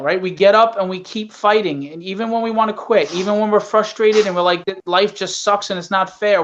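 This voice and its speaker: High-voiced man, earnest and blunt. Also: a bit bright. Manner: earnest, blunt, salty language. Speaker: guest, independent comic writer. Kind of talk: casual, opinionated podcast talk. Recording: dull, lo-fi online call audio.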